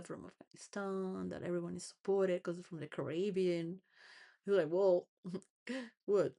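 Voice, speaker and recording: A woman's voice: lowish voice, gravelly, darkish tone. A woman rambling through a personal monologue, full of restarts and wandering thoughts, sometimes laughing between phrases. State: homeless.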